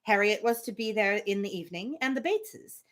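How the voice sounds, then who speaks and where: A woman hosting a live reading of a novel, reading aloud and breaking off for casual asides in an animated way. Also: mid-range voice, a little fast. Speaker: host of live book readings.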